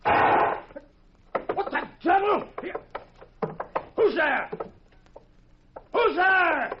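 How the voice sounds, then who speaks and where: An indignant ship's captain in a 1950s radio play. He blusters slowly in a very high, creaky voice. The old recording is muffled and noisy. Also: animated, exaggerated voice. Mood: startled, indignant. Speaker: actor in a radio drama.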